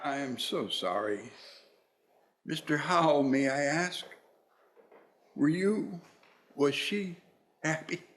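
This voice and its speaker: An actor playing a reformed old miser uncle in a radio play, speaking formally and haltingly. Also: male voice, fairly high pitch, lively intonation, a bit bright. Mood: tender, hesitant. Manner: formal, halting with repeated words.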